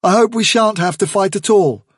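A man voicing a young male rabbit leader who reasons calmly and persuasively with the others. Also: high voice, normal speed, breathy edge, dry recording, studio quality, voice pushed up. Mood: earnest. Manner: earnest, reasoning, steady.

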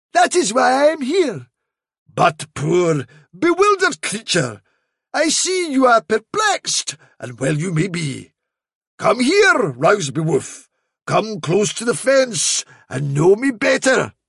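A wily storybook trickster posing as a noble fairy messenger dog. The voice climbs high and swoops grandly, stretching words slowly. Every phrase is lofty, theatrical flattery. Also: male voice, gravelly, husky, no room sound, studio quality, exaggerated voice. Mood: regal, flattering. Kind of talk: dramatic storybook reading.

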